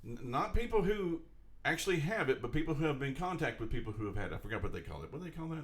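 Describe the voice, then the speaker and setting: A man's voice: a little high, very animated, very fast. A man co-hosting a podcast, talking casually, rambling and joking. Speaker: podcast co-host. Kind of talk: casual chat.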